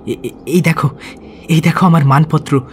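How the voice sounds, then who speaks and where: A man's voice: a little high, fairly even intonation, moderate pace, slight background noise, lightly acted. A man playing an earnest, grieving young medical student and devoted son in a radio drama, emotional in delivery. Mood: eager, anxious. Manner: emotional, earnest, swinging to terse.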